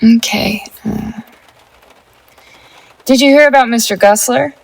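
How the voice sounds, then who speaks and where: Woman doing a flippant teen gang girl, 50s audio drama, fry in the voice, offhand n stubborn. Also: on the high side, takes it slowly, heavily acted, low-quality recording. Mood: gossipy.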